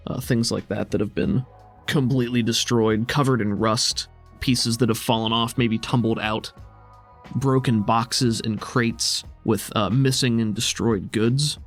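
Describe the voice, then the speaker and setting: Man game master narrating over music. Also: medium pitch. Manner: conversational, descriptive. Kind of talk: improvised narration of a tabletop game.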